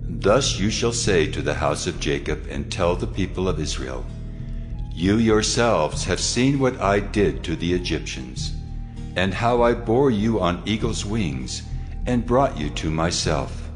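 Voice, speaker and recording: A majestic, commanding God played by a man speaks in a dramatized scripture reading. The voice is very deep and swings widely in pitch, grave and authoritative, with soft music underneath. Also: expressive, unhurried. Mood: solemn, commanding. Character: God, solemn, commanding, majestic male deity.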